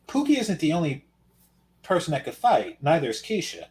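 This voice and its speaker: A man's voice: fairly high pitch, huge pitch swings, moderate pace, darkish tone. A man from Oakland chatting casually as a podcast co-host, telling stories in a wry, matter-of-fact way. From Oakland, California. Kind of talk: casual chat.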